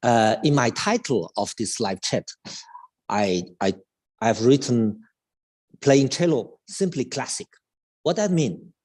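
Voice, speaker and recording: A man in his fifties with a Chinese accent talks slowly and haltingly, stopping to restart his sentences. His voice has a husky, slightly breathy edge. He sounds like a cello teacher chatting live online, with a mid-range pitch. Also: lively intonation, darkish tone. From China. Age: 50s. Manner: halting, with many restarts and fillers.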